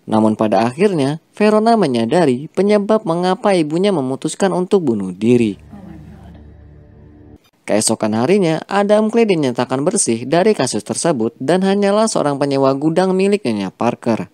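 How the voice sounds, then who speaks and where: Dark-toned man narrating a film recap. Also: fairly high pitch, sing-song, moderate pace, no room sound. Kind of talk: scripted narration.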